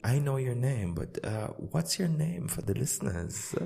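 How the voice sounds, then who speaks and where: A man's voice: medium pitch, normal speed, vocal fry, husky. A man from Jamaica, an English teacher hosting a podcast, chatting playfully with a student and then reading his show intro. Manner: lively, playful, switches between English and German.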